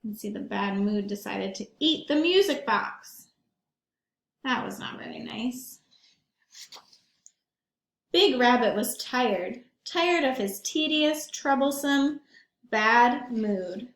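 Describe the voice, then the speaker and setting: High-voiced woman reading aloud to children, roomy echo. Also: slow, gravelly, long pauses. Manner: animated, emphatic, questioning the listeners. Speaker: read-aloud storyteller for young children. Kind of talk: expressive picture-book read-aloud with asides to children.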